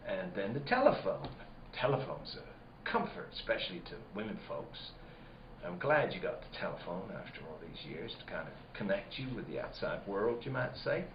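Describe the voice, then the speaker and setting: An actor playing a folksy, slyly probing farmer in a spooky radio drama. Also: male voice, low voice, very animated, moderate pace. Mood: sly, insinuating. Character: evasive, insinuating rustic farmer neighbour. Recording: muffled, echoey room with background noise.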